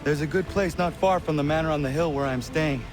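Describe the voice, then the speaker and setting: An actor playing a serious young apprentice in a video game, earnest and blunt, with formal phrasing. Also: male voice, fairly high pitch, fairly quick, music in the background, lightly acted. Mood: curious, plain. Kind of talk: video game character acting.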